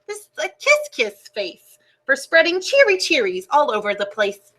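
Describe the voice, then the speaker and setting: A gloomy, ever-pouting male fish moans his rhyming refrain, as a woman reads him aloud. The voice is bright and a little high, with a mopey sing-song lilt. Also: exaggerated voice, low-quality recording. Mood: cheery. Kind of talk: storybook read-aloud.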